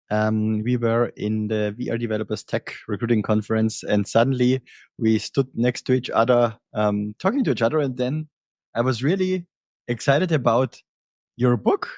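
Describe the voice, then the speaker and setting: A man hosting a podcast interview, speaking in a measured, reflective way with frequent ums. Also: mid-range voice, lively intonation, darkish tone, no room sound. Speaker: podcast host. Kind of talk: conversational interview.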